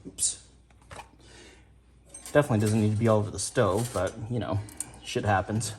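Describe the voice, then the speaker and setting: A man's voice: lowish voice, fairly even intonation, moderate pace, a little mellow, roomy sound, slight background noise. A man chatting casually as he cooks in his home kitchen, laid-back and swearing now and then. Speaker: home cook vlogger. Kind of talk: casual cooking narration.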